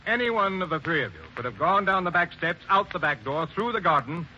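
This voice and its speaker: A man playing a brisk, authoritative police sergeant in a 1940s radio drama, giving clipped orders. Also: sits high, lively intonation, pushed and bright, full character voice. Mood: stern. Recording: muffled 1940s radio broadcast, faint hiss.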